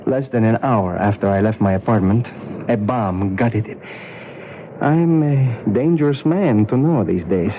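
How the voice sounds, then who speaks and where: An actor playing a hunted young royal in exile in a 1970s radio drama, earnest and apologetic. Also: male voice, lowish voice, expressive, unhurried. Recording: dull, faintly hissy old radio broadcast.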